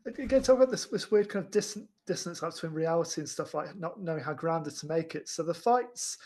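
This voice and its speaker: A man chatting casually as a podcast panelist, halting and stammering as he repeats words to find his phrasing. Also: a little high, lively intonation, darkish tone. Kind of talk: casual film discussion chat.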